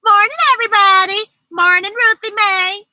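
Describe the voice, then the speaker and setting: A woman's voice: extremely high pitch, fairly even intonation, normal speed, pushed and bright, dry recording, voice pushed up, heavily acted. A woman voicing a blunt, self-satisfied, eager schoolgirl in a storybook, with emphatic, childlike, folksy speech. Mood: cheerful, blunt.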